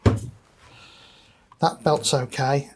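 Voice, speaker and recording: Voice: medium pitch, steady tone, extremely slow, crowd noise. Casual workshop demonstrator thinking aloud. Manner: thinking aloud while working. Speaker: presenter demonstrating equipment repair. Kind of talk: casual hands-on workshop commentary.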